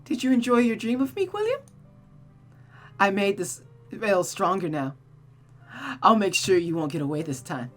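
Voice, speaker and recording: A woman puts on a young witch from a visual novel, raised in pitch and swelling in volume. She coos endearments with obsessive, deranged hunger and a taunting edge, over clear music. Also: lively intonation, normal speed, long pauses, lightly acted. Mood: infatuated. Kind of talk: visual novel character read-aloud.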